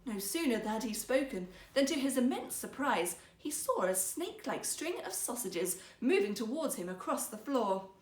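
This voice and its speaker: A woman's voice: a little high, big pitch swings, moderate pace, few pauses, recorded from a distance. A woman storyteller reading a fairytale aloud in a theatrical style. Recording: roomy, echoing sound.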